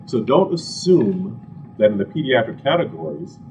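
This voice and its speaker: A man from Ghana giving an animated, conversational health lecture to a live audience, full of asides and rhetorical questions. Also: medium pitch, moderate pace. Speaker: physician giving a health talk. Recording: distant, dull, slightly noisy room recording.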